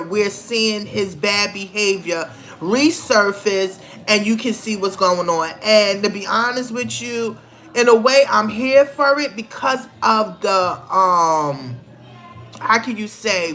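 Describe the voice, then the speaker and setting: A woman's voice: medium pitch, bright, forceful tone. A middle-aged woman giving casual, opinionated commentary in a conversational, emphatic way, repeating phrases for stress. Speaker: vlogger.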